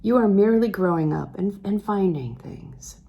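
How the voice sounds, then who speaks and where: A woman voicing a tender, melancholy older man who speaks gently, hesitantly and earnestly in a literary audiobook. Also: medium pitch, animated, moderate pace, lo-fi sound, close to an everyday voice. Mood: tender, wistful confession.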